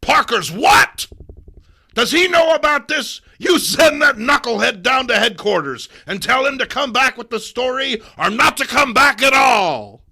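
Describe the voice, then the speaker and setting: A man's voice: very high-pitched, animated, measured pace, creaky, pushed and bright, voice pushed up, heavily acted. A man voicing a blustering, short-tempered newspaper publisher who barks exclamatory orders, in a comic book reading. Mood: irate.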